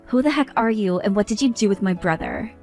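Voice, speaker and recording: A woman's voice: mid-range voice, a little fast, a little mellow, soft background music, lightly acted. A woman voicing an exasperated young bride who scolds others in an indignant tone.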